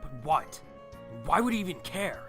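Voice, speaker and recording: A man's voice: sits high, expressive, moderate pace, over music. A man playing an agitated, impatient banished teenage prince in an audio drama. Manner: agitated, questioning.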